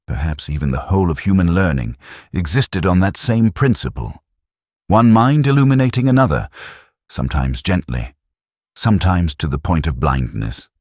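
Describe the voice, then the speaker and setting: A man playing a weary, melancholy middle-aged detective, narrating his story in a measured, reflective, literary way. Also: low voice, a little mellow, breathy edge, no room sound, clean studio sound, full character voice. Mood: wistful, resigned. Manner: measured, brooding, literary delivery. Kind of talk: scripted first-person detective story narration.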